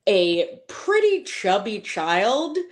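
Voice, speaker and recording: A woman in her forties from Boston with a faint, mostly hidden Boston accent, telling her story as an interview guest, animated and full of fillers. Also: medium pitch, extremely slow. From Boston, Massachusetts.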